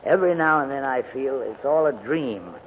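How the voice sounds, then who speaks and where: Tinny old-radio elderly English gentleman, acted by a man. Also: medium pitch, lively intonation, normal speed, slight background noise, lightly acted. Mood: grieving, restrained. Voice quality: hesitant, hemming delivery. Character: courteous, dithering elderly English gentleman escorting refugee children. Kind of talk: 1940s radio drama acting.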